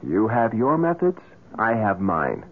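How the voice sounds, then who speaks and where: A man's voice: lowish voice, very animated, unhurried. Defensive, swooping-voiced American man. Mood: guarded, defiant. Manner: agitated, stammering, guarded. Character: defensive, evasive young businessman protecting his fiancée and secret. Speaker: actor in a radio drama. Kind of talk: old-time radio drama acting. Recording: narrow, noisy old radio recording.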